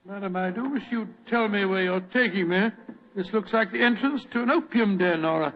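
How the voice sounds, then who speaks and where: Man playing a fussy, high-voiced elderly British doctor. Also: fairly quick, lightly acted. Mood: bewildered. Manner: fussy, hesitant, old-fashioned gentlemanly. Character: elderly genial doctor reminiscing, easily flustered and indignant. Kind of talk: vintage radio drama acting and narration. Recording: muffled, noisy old radio drama recording.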